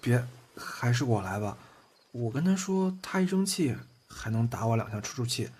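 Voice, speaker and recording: A man's voice: mid-range voice, sing-song, moderate pace, darkish tone, close to an everyday voice. A man playing a shy, eager young boyfriend in a Chinese audio drama, given to flustered stammering. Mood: anxious.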